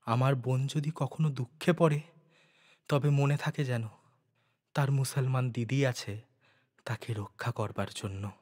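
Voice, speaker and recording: A man voicing an earnest, resolute young woman in a storybook reading, soft and solemn. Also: medium pitch, fairly even intonation, unhurried, pauses often, in a large hall, voice pushed down, lightly acted. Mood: gentle, resolute. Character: earnest, devoted young woman, once outcast, now resolute.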